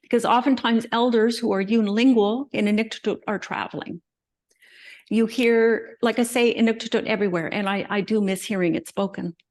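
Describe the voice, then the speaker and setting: Older woman giving a relaxed slide talk. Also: a little high, a little fast. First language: English. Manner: relaxed, conversational, frequent "um" and asides. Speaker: guest lecturer.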